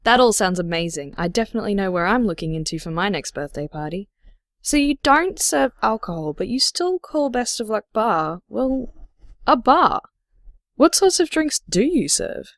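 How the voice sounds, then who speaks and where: An actress playing a supportive, curious radio host in an audio drama, with a friendly, inquisitive manner. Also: female voice, mid-range voice, very animated, normal speed, dry recording, clean studio sound. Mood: friendly interest.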